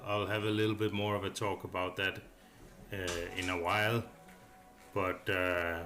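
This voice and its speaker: Low-voiced man in a casual hobby voice-over. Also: sharp tone. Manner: hesitant, rambling, thinking aloud. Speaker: hobbyist reviewer narrating a build. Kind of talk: casual unscripted voice-over review. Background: background music.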